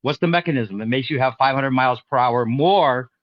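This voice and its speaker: A man's voice: mid-range voice, brisk, dry recording. Man pressing hard questions, lo-fi muffled line, dry sound. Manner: challenging, repeats pointed questions. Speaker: debater. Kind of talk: heated online voice-chat debate. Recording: dull, dry lo-fi voice chat.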